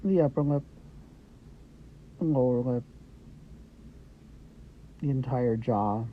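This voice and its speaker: Man, meditation guide, slow delivery, gentle short phrases with pauses, slightly muffled lo-fi recording. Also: mid-range voice. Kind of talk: guided meditation, Yoga Nidra. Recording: dull lo-fi audio with background noise.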